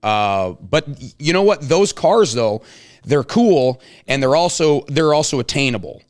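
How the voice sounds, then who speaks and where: Male interviewer, average pitch, casual conversation, chummy, encouraging. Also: animated, measured pace. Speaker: host, interviewer.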